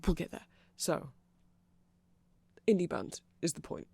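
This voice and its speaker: A performer voicing a nerdy vampire in a casual ASMR roleplay, explaining things in a hesitant, digressive, conversational way with a creaky voice. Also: mid-range voice, sing-song, measured pace, dark tone, no room sound, heavily acted. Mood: nerdy, rambling. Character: nerdy rambling vampire, eager fan explaining band lore.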